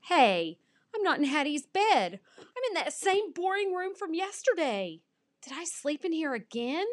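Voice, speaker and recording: An overexcited storybook dog, acted out by a woman, greedy and naive. The voice leaps up high and swoops around, gushing in bouncy exclamations. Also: sing-song, measured pace, no echo, studio quality, heavily acted. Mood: puzzled, indignant. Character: excitable, greedy young male pet dog, eager and naive.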